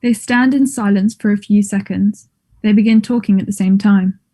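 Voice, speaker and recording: A woman's voice: mid-range voice, fairly even intonation, normal speed, dark tone. A woman reading stage directions as scripted narration, in a plain, neutral manner.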